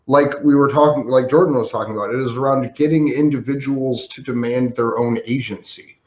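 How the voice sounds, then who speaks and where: A man's voice: medium pitch, fairly even intonation, a little fast, a little mellow. An earnest man speaks persuasively as a guest on a livestream. His voice comes over a video call and sounds roomy and a bit dull. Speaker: activist guest. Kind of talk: casual livestream chat.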